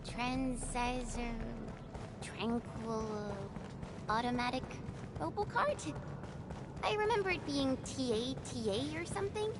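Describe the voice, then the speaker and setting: A woman voicing a perky, playful young female teammate in a video game, breezy and expressive, over music. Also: high-pitched, slow, very noisy. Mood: playful, musing. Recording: music behind the voice.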